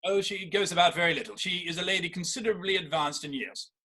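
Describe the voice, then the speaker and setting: A man playing a polite young Victorian gentleman suitor in a theatrical comedy reading, well-spoken and deferential. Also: high voice, quick pace. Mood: earnest, deferential. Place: virtual performance from home in isolation.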